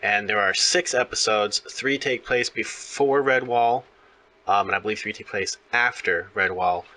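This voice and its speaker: A man's voice: on the low side, steady tone, a little slow, sharp tone, low-quality recording. A man hosting a conversational interview, explaining things in a rambling way. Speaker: interviewer, host.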